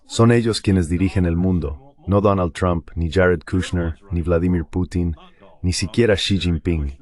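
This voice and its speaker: Low-voiced man, blunt political commentator. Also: unhurried, darkish tone. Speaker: interview guest and political commentator.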